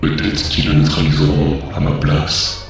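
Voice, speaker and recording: A calculating, frustrated villain from a comic dub. The voice is extremely deep and monotone, drawn out with slow menace. It rings with heavy cavernous echo, as if far away over music. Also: male voice, creaky. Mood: brooding, frustrated. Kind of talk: French fan comic dub. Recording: heavily echoing, distant voice over music.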